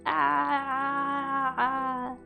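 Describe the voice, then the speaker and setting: A woman's voice: high-pitched, level tone, drawn-out words, gravelly, bright, forceful tone, faint music behind. A woman chatting casually on a livestream, effusive and giggly, often repeating words and trailing off. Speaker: VTuber streamer and indie game developer. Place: indoor solo livestream with music behind the voice.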